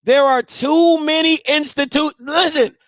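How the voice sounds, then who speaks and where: A man in his thirties from Maryland hosting a live show, giving blunt, emphatic advice to listeners and sometimes shouting. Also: extremely high pitch, steady tone, a little slow, no echo, studio quality. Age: 30s. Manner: emphatic, blunt, swings between soft and shouting. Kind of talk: casual advice talk to listeners.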